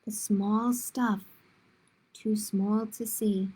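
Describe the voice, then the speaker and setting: A woman's voice: mid-range voice, fairly even intonation, extremely slow, background noise. A woman hosting a children's storytime, reading picture books aloud in simple phrases with casual asides to her listeners. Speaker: children's librarian, storytime host.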